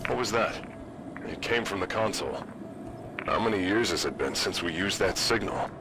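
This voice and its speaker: A man's voice: sits low, expressive, normal speed, noisy background. A man playing a wary, dryly skeptical squad soldier in a video game cinematic. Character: wary, skeptical male soldier loyal to his squad leader. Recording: loud music behind the voice.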